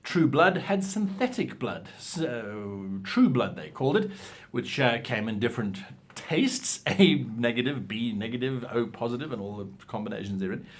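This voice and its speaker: Expressive man giving instructional talk. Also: mid-range voice, moderate pace. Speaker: host of a role-playing advice show.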